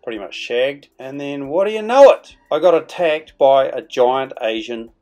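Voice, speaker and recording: A man's voice: medium pitch, animated, moderate pace, dark tone. A middle-aged man narrating a vlog in a chatty, playful, colloquial way over music. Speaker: vlog host. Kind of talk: casual vlog storytelling voice-over. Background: background music.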